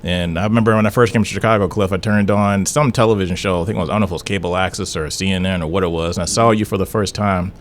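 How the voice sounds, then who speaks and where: A man, co-host of a radio show, telling a story in relaxed, animated talk. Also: deep voice, very fast, few pauses.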